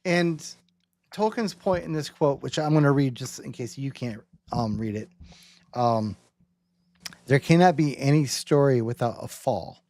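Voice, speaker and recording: A man hosting a casual theological discussion, thinking aloud in halting, rambling phrases and often checking in with rhetorical questions. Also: medium pitch, expressive, darkish tone, dry recording. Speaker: host.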